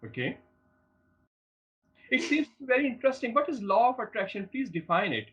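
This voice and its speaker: Husky high-voiced male studio host. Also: very animated, moderate pace, fairly bright tone. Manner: repeats and restarts phrases, upbeat promo pitch. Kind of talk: studio interview.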